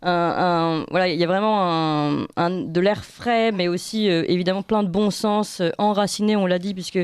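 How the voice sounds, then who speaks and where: A man's voice: sits high, clear, resonant tone, no echo, studio quality. A man hosting a radio interview, agreeing often and praising the guest's book. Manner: affirming, quick agreeing interjections. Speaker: radio host. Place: radio studio.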